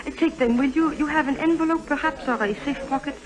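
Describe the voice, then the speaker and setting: A fairly high young female voice of an actress, as a princess in disguise in a 1950s radio play. She is gracious and coy, placing each formal phrase with care. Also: fairly even intonation, fast, a crowd in the background, close to an everyday voice, poor audio. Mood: coaxing. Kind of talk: 1950s radio drama acting.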